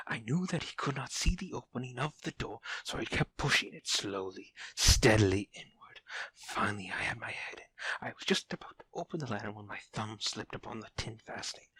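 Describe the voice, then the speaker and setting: An actor playing an obsessed, unhinged murderer in an audio drama horror monologue, theatrical, moving between breathy hush and sudden outbursts. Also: male voice, on the low side, no echo, low-quality recording. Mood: secretive, gloating. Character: obsessed, unhinged murderer confessing his careful crime.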